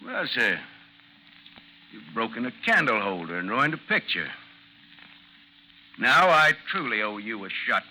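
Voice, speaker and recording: An actor in a 1950s radio drama playing a vengeful gentleman duellist, coolly mocking and formal in speech. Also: mid-range voice, big pitch swings, unhurried, long pauses. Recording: noisy 1950s radio recording, slight hiss.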